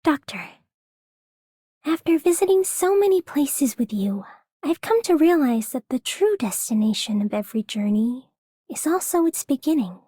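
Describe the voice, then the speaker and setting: A woman's voice: sits high, big pitch swings, moderate pace, warm tone, dry recording, studio quality. A woman voicing a serene, wistful young traveller in a video game. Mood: wistful, warm. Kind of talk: video game character voice lines.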